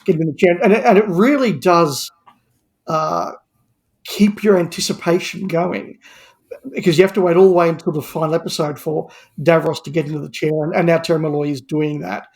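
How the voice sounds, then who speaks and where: Podcast guest guy, bit higher pitched, casual but articulate, thoughtful review talk. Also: brisk, creaky.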